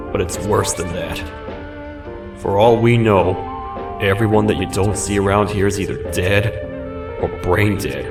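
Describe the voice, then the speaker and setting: A man playing a bitter, gloomy ex-bodyguard turned bounty hunter in a video game, grumbling and self-deprecating. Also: sits low. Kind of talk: video game character acting. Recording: distant, echoey, loud music over voice.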